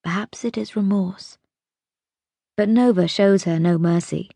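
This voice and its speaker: A woman reading an audiobook as narrator, telling the story expressively in a measured, dramatic way. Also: mid-range voice, creaky, mellow, no echo.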